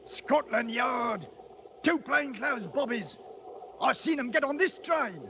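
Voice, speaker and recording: An actor voicing a nosy, excitable Cockney train passenger in a 1930s radio drama, inquisitive and colloquial. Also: male voice, very high-pitched, takes it slowly, heavily acted. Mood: gossipy. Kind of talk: 1930s radio character acting. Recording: noisy, dull old radio broadcast.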